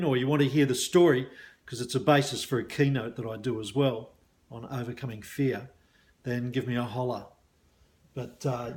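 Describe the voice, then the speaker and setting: A man's voice: medium pitch, a little mellow. An Australian man, a mindset coach, talking casually to viewers in a matey, colloquial way. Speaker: business mindset coach and hypnosis practitioner. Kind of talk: casual chatty video talk to viewers.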